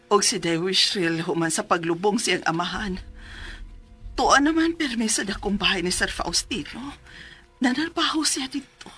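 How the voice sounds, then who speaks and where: A woman's voice: mid-range voice, moderate pace, sharp tone, slightly rough, soft background music. A woman playing an anxious, protective mother in an emotional, pleading style of Philippine radio drama. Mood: grave. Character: anxious, protective mother warning her grown son.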